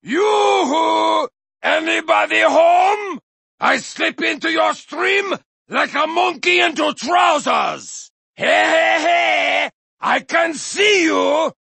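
An actor playing a crazed, bloodthirsty male Viking warrior in a racing game, shouting gravelly taunts and battle cries with manic cackles. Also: very high-pitched, slow pace, no room sound, exaggerated voice. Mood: manic taunting. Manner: boastful, taunting battle cries, sudden drops to a menacing growl. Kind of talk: video game character voice lines.

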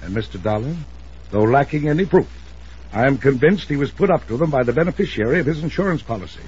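An actor playing a wealthy, domineering older uncle in a 1950s radio drama, commanding, suspicious and emphatic. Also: male voice, medium pitch, lively intonation, fast talker, darkish tone, husky. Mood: suspicious, grave. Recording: muffled, noisy old radio recording.

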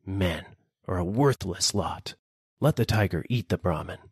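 A man voicing a grumpy, bitter storybook animal with a grumbling, drawn-out delivery. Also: low-pitched, breathy edge, dry recording, clean studio sound. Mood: bitter, scornful.

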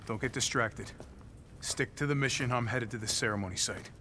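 An actor playing a gruff, middle-aged military operative in a video game, terse and commanding, with an American accent. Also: male voice, mid-range voice, a little fast, bright, forceful tone, lightly acted. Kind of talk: dramatic video game cutscene acting. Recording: battle and outdoor ambience noise.

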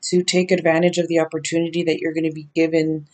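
A woman in her thirties, raspy from lack of sleep, giving a slow, emphatic tarot reading to the camera in a small bedroom. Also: sits low, flat delivery, a little mellow. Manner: slow, emphatic, drawn-out warnings. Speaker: tarot reader. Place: small decorated bedroom indoors.

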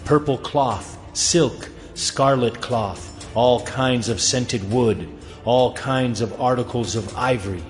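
Slow, echoing male scripture reader. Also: mid-range voice. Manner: measured, deliberate listing. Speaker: narrator. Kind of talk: dramatic scripture reading. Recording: long reverb, faint music.

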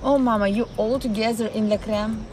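A woman with a Ukrainian accent, live-streaming from a city park and chatting with her viewers in a halting way, often searching for words. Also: on the high side, steady tone, darkish tone, slight background noise. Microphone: phone.

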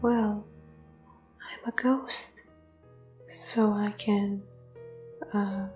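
A woman plays a timid, apologetic young ghost in an audio drama. The soft, whispery voice moves very slowly and haltingly, stumbling over words. It sounds roomy and a bit dull, with music underneath. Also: medium pitch, flat delivery. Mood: shy, apologetic. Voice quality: soft and breathy, often whispery. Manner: hesitant, halting, stammering. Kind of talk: fan audio drama acting.

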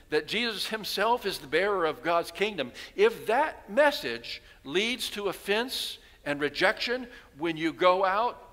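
A man's voice: high-pitched, bright, forceful tone. An older man in his sixties preaching a sermon from the pulpit, telling stories and asking his congregation rhetorical questions. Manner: storytelling preacher, rhetorical questions, voicing townspeople. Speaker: pastor. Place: large church sanctuary, at pulpit. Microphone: headset mic. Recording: long reverb of a church hall.

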